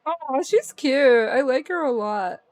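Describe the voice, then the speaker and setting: Playful woman streamer over soft music. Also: high voice, expressive, slow. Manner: playful, slangy. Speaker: livestream gamer. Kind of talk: reading visual novel narration aloud with casual reactions.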